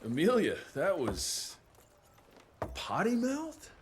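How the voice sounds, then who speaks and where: An actor playing a cocky, tough vampire hunter in a video game cinematic, trading in folksy slang and dry wisecracks. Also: male voice, high-pitched, huge pitch swings, slow pace, a little hiss or hum, exaggerated voice. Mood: wry.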